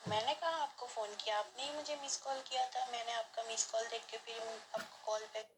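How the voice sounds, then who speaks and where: A woman's voice: on the high side, quick pace, background noise. A woman speaking as a prank caller, delivering flirty, scripted lines in an insistent, questioning way.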